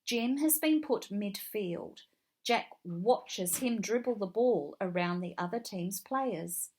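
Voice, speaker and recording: A woman narrating a children's read-along story in a deliberate, expressive way. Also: medium pitch.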